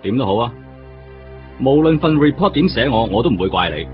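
An actor playing a world-weary veteran police detective in a radio drama, with a Hong Kong Cantonese accent and a drawling, resigned, defensive manner. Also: male voice, medium pitch, expressive, brisk, lightly acted. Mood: bitterly resigned. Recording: muffled radio drama recording. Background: music.

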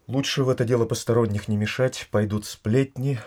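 An actor in an audio drama playing a mild, reasonable prince and husband, measured and tactful in his objections. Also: mid-range voice, steady tone, a little mellow, natural voice. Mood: concerned, reasonable. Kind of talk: Russian audio drama acting.

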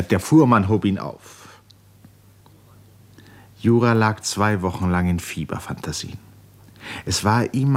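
A man narrating a literary radio play in a measured, dramatic manner with deliberate pauses. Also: on the low side.

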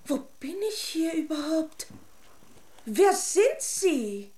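An actress playing a haughty, imperious princess doll come to life in a children's radio drama. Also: female voice, sits high, big pitch swings, takes it slowly, mellow, echoey room, heavily acted. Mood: indignant, imperious. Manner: imperious, grandiose, easily indignant.

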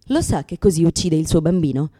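A woman's voice: sits low, lively intonation, fairly quick, mellow. An actress in a radio theatre monologue playing a brash, sarcastic, exasperated new mother in her thirties. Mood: indignant, sardonic. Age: 30s.

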